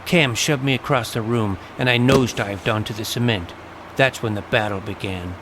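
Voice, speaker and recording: A man's voice: on the low side, normal speed, noisy background, close to an everyday voice. An actor narrating an audio drama in the first person as a plucky, self-assured boy detective recounting his adventures. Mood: tense, dramatic. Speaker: narrator and lead character. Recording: loud dramatic music under narration.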